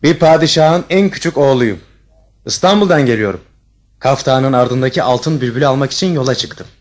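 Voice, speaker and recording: A man plays a young fairy-tale prince. He is courteous and brave, speaking quickly at a medium pitch with earnest resolve. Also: lightly acted. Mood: resolute. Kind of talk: Turkish fairy-tale audio drama.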